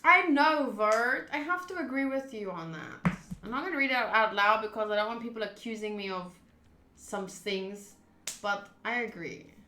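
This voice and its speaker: A woman with a bright, higher-pitched voice streams a game in a roomy, echoey space. She reads story text aloud and slips into casual, expressive commentary, swearing freely as she reacts. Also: normal speed, a little hiss or hum. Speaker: streamer playing a visual novel. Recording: roomy echo.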